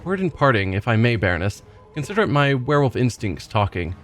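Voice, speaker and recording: A man's voice: mid-range voice, big pitch swings, fast talker, faint music behind, close to an everyday voice. Man reading as a bitter wounded werewolf. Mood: wry. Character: bitter wounded male werewolf loner, wry.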